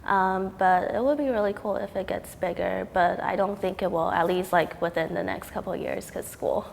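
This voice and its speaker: Young woman from taiwan talking casual, lots of ums and likes, raspy-ish low voice, faint hiss behind. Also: normal speed. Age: young adult. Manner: relaxed, frequent ums and likes. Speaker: student artist interviewee. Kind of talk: casual interview talk. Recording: reverberant hall with faint noise.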